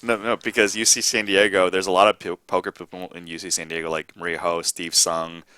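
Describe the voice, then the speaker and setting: A man's voice: low voice, flat delivery, brisk, pushed and bright, clean tone, dry recording. A man hosting a casual live interview, joking and teasing his guest and laughing often. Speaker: podcast host. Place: studio at a casino.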